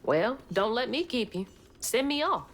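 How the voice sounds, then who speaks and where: A woman's voice: on the high side, very animated, unhurried, a little hiss or hum. A woman playing a determined young hero and loyal daughter in a video game, with a Southern US accent. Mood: resolute. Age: young adult. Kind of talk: video game cutscene acting. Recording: loud music behind the voice.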